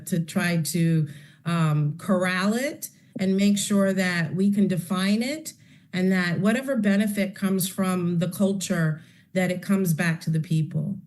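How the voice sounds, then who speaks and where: A woman's voice: medium pitch, a little mellow. A woman presenter speaking earnestly and reflectively in an online session. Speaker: conference presenter. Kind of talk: closing remarks in an online session. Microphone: video call.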